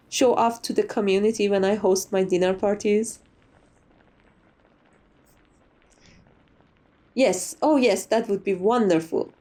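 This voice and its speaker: A woman's voice: mid-range voice, dark tone, close to an everyday voice. A woman playing a sociable, gracious vampire who chats in a genteel, slightly formal way, as an ASMR roleplay. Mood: gracious, cheerful. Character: sociable, chatty, gracious old female vampire being interviewed.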